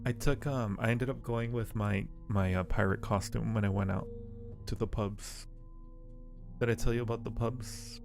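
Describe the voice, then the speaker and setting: Man, game stream host, casual chat, gravelly edge, background music. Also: fairly low pitch, steady tone, normal speed. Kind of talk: casual live chat while gaming.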